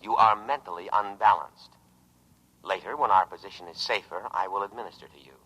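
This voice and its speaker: A low-voiced, pompous robot lifeboat. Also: male voice, pushed and bright, husky. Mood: sternly unyielding. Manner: solemn, measured, grandiose oratory. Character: calm, pompous, paranoid robot lifeboat, male-voiced war machine. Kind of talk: old-time radio drama acting. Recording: muffled vintage radio broadcast recording.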